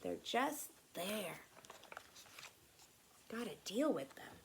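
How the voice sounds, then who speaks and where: A woman's voice: mid-range voice, big pitch swings, very slow, gravelly, background noise. A woman reading a children's story aloud in a dramatic, emphatic, teacherly way, with explanatory asides. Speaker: read-aloud host.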